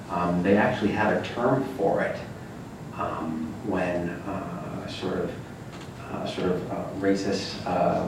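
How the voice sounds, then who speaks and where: A man with a very low voice answers a panel question, stopping often with "um" and "uh". He sounds distant, caught from across a big echoey hall. A steady noise sits behind him. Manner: hesitant, many fillers. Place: large echoey lecture room. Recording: echoey room from far off with noise.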